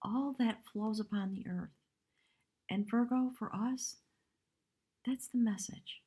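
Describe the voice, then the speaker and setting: A woman with a hoarse voice giving a live spiritual tarot reading in measured, reverent short phrases. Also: a little high, dark tone. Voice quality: husky, breathy. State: hoarse from much talking. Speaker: tarot reader, live stream host.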